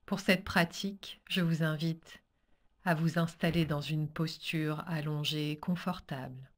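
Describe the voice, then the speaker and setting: Very slow, low-voiced woman guiding relaxation. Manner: calm, soothing, measured instructions with pauses. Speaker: yoga nidra teacher guiding a practice. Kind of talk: guided relaxation meditation.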